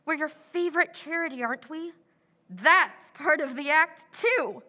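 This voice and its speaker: A woman's voice: sits high, normal speed, bright, forceful tone. A woman playing a scheming, contemptuous sister in an old-time radio drama, with a cold, cutting delivery.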